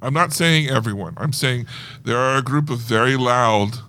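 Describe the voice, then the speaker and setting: A man co-hosting a casual podcast discussion of films, talking in an animated, conversational way. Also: mid-range voice, moderate pace, a little hiss or hum. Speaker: podcast co-host. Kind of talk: casual movie trailer discussion.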